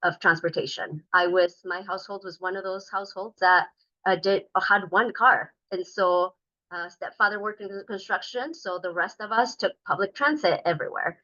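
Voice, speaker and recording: A middle-aged woman with a bright, forceful voice speaks earnestly and at length. She pauses often with ums and uhs while introducing herself to a community group. The sound is dull and lo-fi, like a recorded meeting. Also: medium pitch. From Denver, Colorado. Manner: earnest, talkative, many fillers. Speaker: state transportation commissioner.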